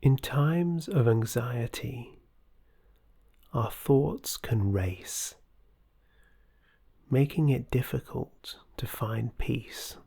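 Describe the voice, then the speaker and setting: This is a meditation guide with a mellow, dark, breathy voice. The delivery is slow, gentle and scripted, often sinking toward a whisper. It stays soothing and measured throughout. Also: medium pitch.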